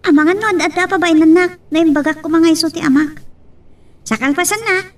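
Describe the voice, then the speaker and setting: Very high-pitched sassy little Filipino girl. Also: steady tone, fairly quick, far from the mic. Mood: hurt, insistent. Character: sassy, wounded little girl questioning her mother and a stranger. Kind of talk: Filipino audio drama acting.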